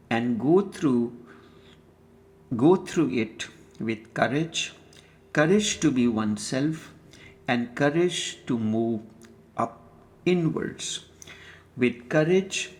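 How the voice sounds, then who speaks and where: Slow, deliberate male meditation teacher. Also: medium pitch. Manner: deliberate, solemn, halting phrases with pauses. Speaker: spiritual teacher, meditation guide. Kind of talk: slow guided meditation discourse.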